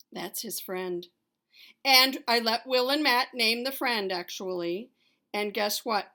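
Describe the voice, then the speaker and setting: A middle-aged woman speaks as a primary school teacher to young children. Her voice sits high and has a bright, crisp edge. She puts questions and then explains the answers in plain, simple phrases. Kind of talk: lesson for young children, read to camera.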